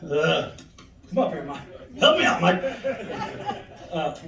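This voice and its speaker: A man's voice: fairly high pitch, big pitch swings, takes it slowly, bright, forceful tone, slight background noise. A man hosting an induction ceremony, rambling and emotional, with laughing asides. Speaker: master of ceremonies. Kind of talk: speaking at a banquet podium. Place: large echoey banquet hall. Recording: roomy hall, voice from far off.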